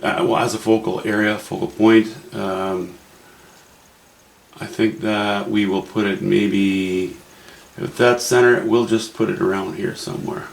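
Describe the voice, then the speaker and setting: A man's voice: fairly low pitch, flat delivery, measured pace, vocal fry, reverberant, rough recording. A man with a Canadian accent, thinking aloud in a wry, musing way as he walks through a painting demo. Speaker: painting instructor. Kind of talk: casual painting demo narration.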